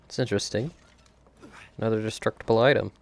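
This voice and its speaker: A man's voice: on the low side, fairly even intonation, fast, creaky. A man doing casual gameplay commentary, thinking aloud and chuckling often as he streams a video game.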